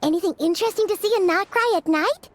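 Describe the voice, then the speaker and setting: A woman voicing a small, childlike fairy companion in a video game, squeaky, excitable and chatty, full of exclamations. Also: fast, noisy background. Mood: teasing, cheerful. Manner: exclamatory, speaks in third person. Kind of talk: animated video game voice acting.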